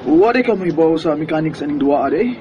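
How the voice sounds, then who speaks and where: An actor playing a friendly, awkward teenage schoolboy in a radio drama, with a hesitant manner and a Cebuano accent. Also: male voice, fairly high pitch, animated, fast talker, lo-fi sound, a crowd in the background, close to an everyday voice. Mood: flustered, persuading. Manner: hesitant, boyish. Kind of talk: Bisaya radio drama acting.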